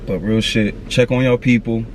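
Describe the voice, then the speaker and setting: A man's voice: medium pitch, steady tone, moderate pace, a little hiss or hum. A man in his thirties talking candidly to camera outdoors, earnest and slangy, repeating his advice. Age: 30s. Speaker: vlogger. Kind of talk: candid motivational talk to camera.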